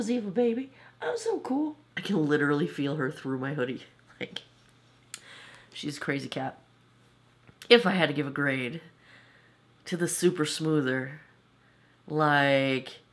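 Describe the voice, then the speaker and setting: A woman in her forties giving a chatty, self-amused makeup review at her home vanity, her voice slightly off from a cold. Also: deep voice. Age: 40s. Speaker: beauty vlogger.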